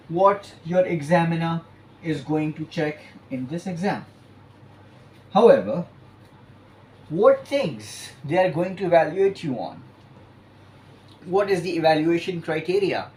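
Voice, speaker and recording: A man teaching an exam-prep lesson, explaining points emphatically and stretching key words between pauses. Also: a little high, a little hiss or hum. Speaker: IELTS teacher.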